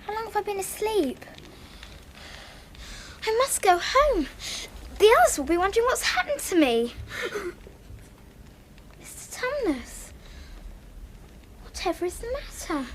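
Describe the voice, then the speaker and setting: A woman's voice: very high-pitched, very animated, a little slow, long pauses, slight background noise, full character voice. A woman playing a kind, polite young girl in a radio theatre drama, earnest and pleading with proper diction. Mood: worried.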